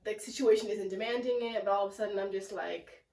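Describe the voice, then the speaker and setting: Congested raspy young woman vlogging. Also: medium pitch, steady tone, quick pace, a little mellow. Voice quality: raspy and nasal. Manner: chatty and self-deprecating, lots of "like" and "um". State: sick, congested. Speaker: plant vlogger. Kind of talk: casual rambling vlog chat. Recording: echoey room, mic at a distance.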